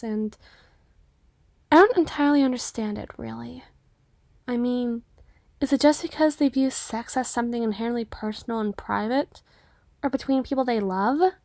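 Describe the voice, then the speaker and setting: A woman's voice: on the high side, dark tone, dry recording, close to an everyday voice. A woman voicing a shy, self-effacing cyclops waitress in her twenties, hesitant and earnest, in an ASMR roleplay. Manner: hesitant, reserved, rambling earnestly.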